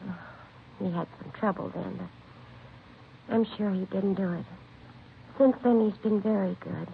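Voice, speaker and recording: An actress playing a protective, trusting middle-aged aunt in a vintage radio drama, earnest and anxious. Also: female voice, medium pitch, a little slow, gravelly. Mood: anxious, defensive. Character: protective, trusting aunt of a wayward young man. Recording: lo-fi vintage radio recording, faint hiss.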